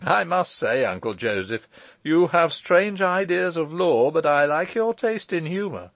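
Breezy, teasing lawyer nephew, acted by a male reader: raised pitch with big swings, eager and emphatic. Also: very animated, normal speed, no echo. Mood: gleeful. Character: brisk, teasing, exuberant gentleman lawyer nephew.

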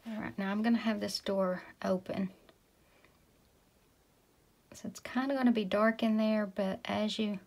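A woman from Tennessee giving a relaxed step-by-step painting lesson, talking as she paints and sometimes dropping to a whisper. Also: mid-range voice, unhurried, long pauses. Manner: relaxed, talks while painting, drops to a whisper at times. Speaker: painting instructor. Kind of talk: casual step-by-step tutorial. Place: indoors at a work table.

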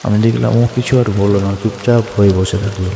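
A man's voice: fairly low pitch, fairly even intonation, a little fast, creaky, dark tone, a little hiss or hum. A man narrating a horror story in the first person, in a colloquial, dramatic storytelling style. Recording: echoey long reverb, music and rain behind. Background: music and rain.

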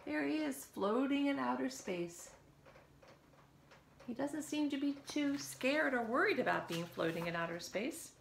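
A woman's voice: sits high, lively intonation, measured pace, creaky, slight background noise. A woman in her fifties reads a picture book aloud to young children, expressively, with chatty asides, recorded at home. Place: living room at home, by a window.

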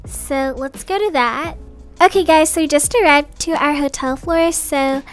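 A woman's voice: sits high, measured pace, soft background music. A woman playing an upbeat, chatty young mother in an improvised family roleplay, casual, reassuring and gushing. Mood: friendly, organised.

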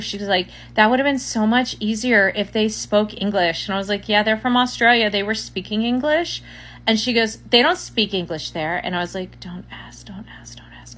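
Lowish american lady telling a story, dry humor, faint hum in back. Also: a bit bright. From United States. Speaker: TikTok storyteller. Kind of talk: casual storytime. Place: indoor living room. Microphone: phone camera close up.

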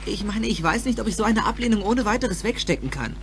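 A man's voice: high-pitched, quick pace, slight background noise. An actor playing an impulsive, self-doubting teenage boy in a German radio play, with breezy youthful speech. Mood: discouraged.

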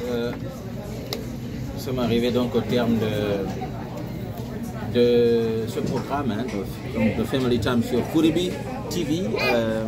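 A man presents a casual vlog in a mid-pitched voice, pausing as he goes. Clear restaurant chatter surrounds him on the handheld recording. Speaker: family vlog host. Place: indoor restaurant booth. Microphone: handheld camera or phone.

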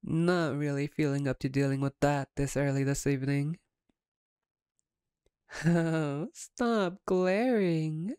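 A woman playing a playful, smug, flirtatious female vampire captor in an ASMR roleplay, with a teasing, wry, unhurried manner. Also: low voice, warm tone, clean tone, no echo, studio quality. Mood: smug, commanding.

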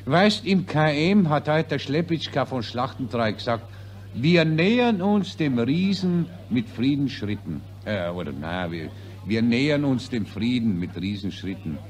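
Dim, swooping Viennese army officer. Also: male voice, mid-range voice, big pitch swings, measured pace. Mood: muddled, jovial. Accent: Viennese Austrian. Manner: bumbling, questioning, self-correcting. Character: chatty, dim-witted Austrian army officer, easily muddled. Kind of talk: 1940s radio acting. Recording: 1940s radio play recording.

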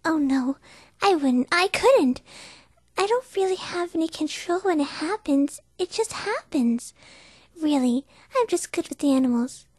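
A woman voicing a timid, gentle female cartoon pony who speaks shyly and hesitantly. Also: high-pitched, animated, a little slow, no room sound. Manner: shy, hesitant, breathy and quiet. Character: timid gentle female pony, soft-spoken animal lover. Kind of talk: cartoon voice acting reel.

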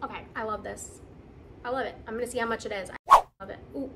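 A woman vlogger chatting casually to camera in an excited, gushing, conversational way, as in an unboxing video. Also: a little high, quick pace, heavy background noise.

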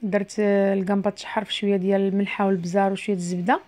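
Middle-aged woman, Moroccan accent, quick casual cooking narration, explains every step, mid-pitched voice. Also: flat delivery, dark tone. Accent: Moroccan Arabic. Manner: chatty, explains each step as she cooks. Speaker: cooking vlogger.

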